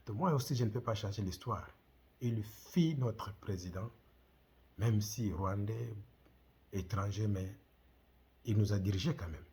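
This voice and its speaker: A middle-aged Congolese man with a deep, heavily husky voice and a Congolese French accent. He addresses his viewers as a channel host, driving his points home with impassioned, repeated rhetorical questions. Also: a little slow, pauses often, dark tone. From Democratic Republic of the Congo. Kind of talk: direct-to-camera commentary.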